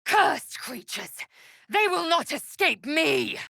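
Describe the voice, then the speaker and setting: A woman's voice: high-pitched, very animated, slow pace, creaky, pushed and bright, dry recording, studio quality, exaggerated voice. A woman playing a fierce, proud alien warrior in a video game, haughty and clipped, with formal, disdainful phrasing. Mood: fierce battle cries. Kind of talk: video game character voice lines.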